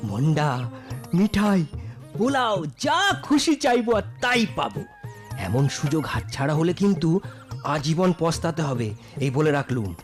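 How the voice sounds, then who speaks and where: Woman as lively, lowish-voiced scheming villager. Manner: folksy, wheedling, expressive. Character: poor, scheming, coaxing young villager. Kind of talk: children's cartoon story acting.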